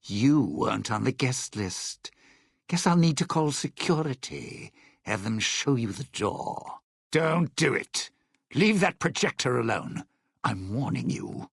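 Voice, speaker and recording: A vain old lounge singer for a game, gravelly n husky, sardonic showman type, scheming and bitter. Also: mid-range voice, big pitch swings, slow, dry recording, clean studio sound, full character voice. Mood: taunting, menacing. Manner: theatrical, sardonic showman talking in stage metaphors. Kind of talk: video game voice acting.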